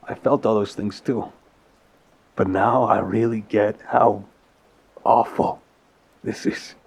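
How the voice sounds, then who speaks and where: A man's voice: on the low side, steady tone, vocal fry, a little mellow, husky, heavily acted. A man acting an apologetic, lovesick mafia boss in an intimate audio roleplay, speaking hesitantly and sincerely with sighs. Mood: flustered, apologetic. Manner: halting, hesitant, sighing, sincere.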